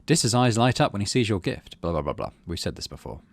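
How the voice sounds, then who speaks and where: A middle-aged man with a low voice talks through a video game in a casual way. His intonation moves around a lot, sliding from dry muttering to himself into animated reading of on-screen text. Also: quick pace, gravelly, dry recording. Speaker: gaming channel host.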